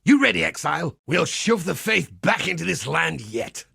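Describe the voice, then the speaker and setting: A husky, gravelly crusading holy warrior from a video game, blunt and zealous. His pitch sits on the high side and swings widely as he preaches in archaic, wry turns of phrase. The sound is dry and close. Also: very animated, moderate pace, pushed and bright, no room sound, exaggerated voice. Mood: gleeful zeal. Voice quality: gravelly, rasping. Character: zealous battle-hardened crusading holy warrior, grimly cheerful, foul-mouthed. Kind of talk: theatrical video game character dialogue.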